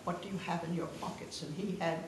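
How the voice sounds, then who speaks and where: A woman's voice: on the low side, steady tone, fast talker, crowd noise. A woman in her nineties telling family stories casually, in an anecdotal way, and given to laughing. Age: 90s. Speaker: guest of honour sharing family memories. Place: echoing room at a family gathering. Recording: very echoey room, distant mic, noisy.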